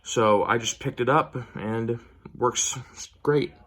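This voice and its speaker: An American man giving a casual show-and-tell as a hobbyist vlogger, with a hesitant, trailing, low-key delivery. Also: takes it slowly, fairly bright tone. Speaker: hobbyist vlogger showing a collection.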